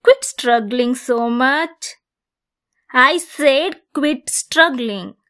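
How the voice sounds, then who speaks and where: A woman playing a possessive centaur captor, bossy yet caring, in an ASMR roleplay monologue with a deliberate, teasing delivery. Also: high-pitched, sharp tone, heavily acted. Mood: stern, impatient.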